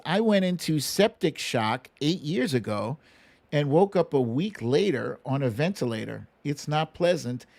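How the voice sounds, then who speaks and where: An American man with a somewhat high-pitched voice hosts a live talk stream. He speaks conversationally and emphatically, giving his opinions bluntly and addressing his viewers as he goes. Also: darkish tone. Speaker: host of a livestream talk show. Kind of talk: casual live commentary, chatting with viewers.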